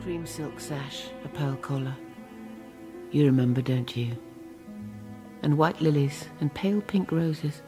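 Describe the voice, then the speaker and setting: An actress playing a fragile, haunted middle-aged wife confiding in a breathy, husky voice, in a radio drama. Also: female voice, deep voice, a little slow, long pauses, mellow, soft background music. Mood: dreamy, wistful. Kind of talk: intimate radio drama monologue.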